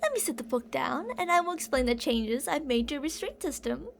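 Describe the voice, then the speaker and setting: High-voiced obsessive kidnapper woman, acted out by a woman. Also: lively intonation, brisk, creaky, slight background noise. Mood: calm, doting. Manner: cooing, possessive, theatrical, with pet names and whispered phrases. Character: obsessive older female kidnapper, doting yet menacing. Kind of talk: ASMR roleplay acting.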